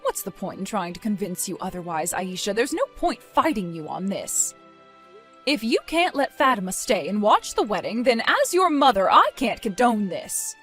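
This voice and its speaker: A woman's voice: a little high, very animated, moderate pace, hardly any pauses, fairly bright tone, soft background music. A woman voicing a stern, disappointed mother nearing retirement age in a dramatized story, scolding with weary firmness. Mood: weary, resigned.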